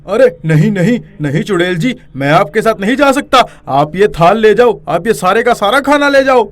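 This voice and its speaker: A man voicing a flustered, pleading village cook in an animated children's story, anxiously repeating his words. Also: high voice, normal speed. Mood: nervous, pleading.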